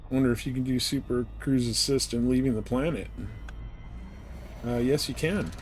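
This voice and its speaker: A man's voice: steady tone, moderate pace, faint music behind. A man giving casual live commentary as he streams a space game, chatting to viewers with mild swearing. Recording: clear background noise, game engine rumble. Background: spaceship game sounds.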